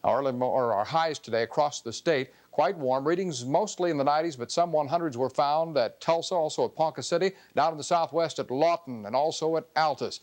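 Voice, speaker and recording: A man's voice: on the high side, fairly quick, hardly any pauses, darkish tone. An American man giving an ad-libbed television weather forecast in a conversational, explanatory way.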